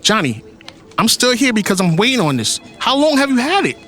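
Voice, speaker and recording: A man's voice: extremely high pitch, sing-song, a little fast, pushed and bright, heavily acted. Man acting a world-weary police captain, faint music. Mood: scheming. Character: lonely, world-weary police captain narrating, scheming and wry. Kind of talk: first-person audio drama narration.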